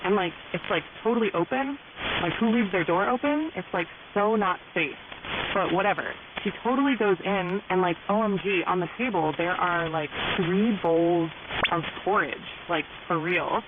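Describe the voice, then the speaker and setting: A woman's voice: fairly low pitch, moderate pace. A young American woman with a Californian Valley girl accent, telling fairy tales in gushy, ditzy, exaggerated slang. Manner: gushy, ditzy, peppered with filler words. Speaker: storyteller on a pirate radio program. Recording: hissy, narrow-band shortwave radio, very noisy. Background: loud static and hiss.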